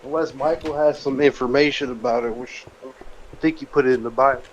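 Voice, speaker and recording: A man's voice: mid-range voice, fairly even intonation, brisk, highs cut off, a little hiss or hum. A man in a casual gaming chat, telling joking lore in a rambling storyteller's way. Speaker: let's play gamer.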